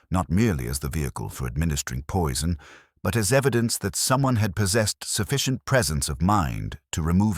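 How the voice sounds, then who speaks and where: Very deep-voiced male narrator. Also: breathy edge, no room sound, studio quality. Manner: measured, literary, formal delivery. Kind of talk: scripted audiobook-style narration of a mystery story.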